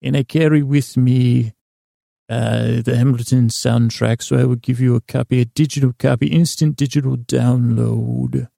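A man's voice: mid-range voice, fairly even intonation, normal speed, vocal fry, dark tone, dry recording, studio quality. A man playing a polite, proud, servile New York hotel concierge in a formal, courtly, pompous manner for a comic bedtime podcast.

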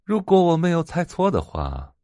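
An actor playing a smug, dismissive IT department chief in an audio drama. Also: male voice, a little high, huge pitch swings, normal speed, warm tone, dry recording, studio quality. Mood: scornful.

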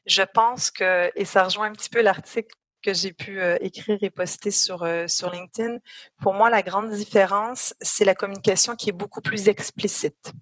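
A woman's voice: mid-range voice, steady tone, moderate pace, no echo. French-Canadian woman, dry close sound. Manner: thoughtful, with frequent hesitations. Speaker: HR executive interviewee. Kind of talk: interview answers.

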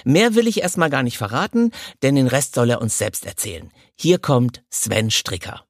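A man's voice: medium pitch, expressive, moderate pace, no room sound, clean studio sound. A man hosting a podcast, giving prepared introductions and interviewing in a conversational way.

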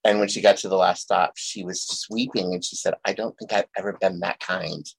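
A low-voiced man with a gravelly edge hosts a reflective conversation. He speaks gently and thoughtfully, often pausing as he retells a story. The sound is dry and close. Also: steady tone, no room sound, poor audio. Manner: gentle, thoughtful, tells stories. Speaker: podcast host. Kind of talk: reflective interview chat.

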